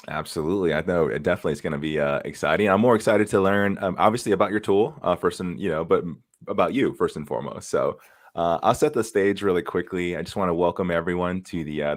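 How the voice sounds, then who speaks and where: A man in his thirties hosting a live stream interview from a home studio, upbeat and loose with fillers. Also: rumbling low. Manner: energetic, many "uh" and "you know" fillers. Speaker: podcast host. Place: home studio room with shelves. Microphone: studio mic on boom arm.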